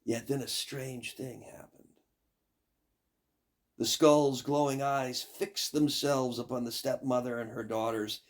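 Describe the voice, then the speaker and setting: An older man reading a fairy tale as a storyteller, dramatic and deliberate in his narration. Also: medium pitch.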